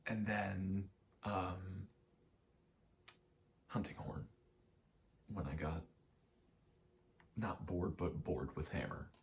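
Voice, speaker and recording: A man giving a rambling ASMR gaming commentary, halting and meandering as he thinks aloud while playing. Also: deep voice, slight background noise. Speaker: gaming video creator.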